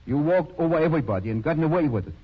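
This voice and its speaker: An actor playing a stern country doctor who scolds and commands, in 1930s radio drama style. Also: male voice, on the high side, lively intonation, very fast, husky. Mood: scornful, accusing. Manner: severe, reproachful, commanding. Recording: muffled, lo-fi 1930s radio recording.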